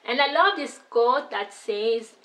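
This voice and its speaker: A woman's voice: fairly high pitch, lively intonation, slow pace, a bit bright. A young woman who learned English after French schooling speaks with a French-influenced accent in casual talk to camera, upbeat and smiling, often turning to her viewers with "you know, guys.". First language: not English; schooled in French. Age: young adult. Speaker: YouTuber giving language tips. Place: indoors against a plain wall.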